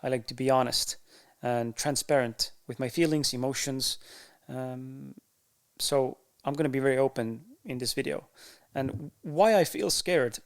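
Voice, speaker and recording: A man with an Estonian accent, a podcaster speaking to camera in a candid, unhurried way, with frequent pauses to find his words. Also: medium pitch. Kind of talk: casual talk to camera.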